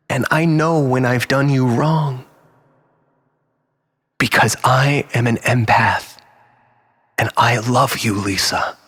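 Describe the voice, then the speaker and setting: Guy playing a slow husky desperate ex, stammering, pleading then bitter, self pitying drama monologue. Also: medium pitch, fairly bright tone, in a large hall, exaggerated voice. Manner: halting, stammering, repeating himself, swinging from pleading to bitter. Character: desperate, manipulative, self-pitying man pleading with his lost love.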